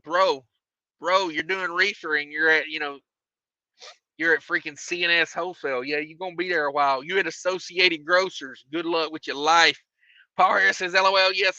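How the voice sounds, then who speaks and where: Chill trucker guy on a livestream, voice going hoarse, super bright tone, kinda dull dry audio. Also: a little high, dry recording. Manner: laid-back, repeats phrases, many fillers. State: losing his voice. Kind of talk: casual livestream chat reading viewer comments.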